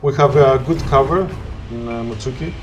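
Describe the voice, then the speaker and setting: A man with a Greek accent, a non-native English speaker, narrating his gameplay in halting sentences full of "uh"s. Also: medium pitch, loud music behind the voice. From Greece. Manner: halting, searching for words with frequent "uh". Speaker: gameplay commentator. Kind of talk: gameplay commentary.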